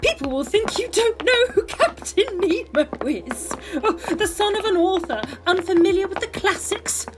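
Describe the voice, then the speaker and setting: A woman acts out a prim, snobbish mother for an audio drama. Her voice sits extremely high and swings widely, full of theatrical indignation and concern for appearances. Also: lively intonation, moderate pace, few pauses. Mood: affronted, melodramatic. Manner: affected, melodramatic, primly indignant. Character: fussy, snobbish mother obsessed with literary appearances. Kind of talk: amateur audio drama acting.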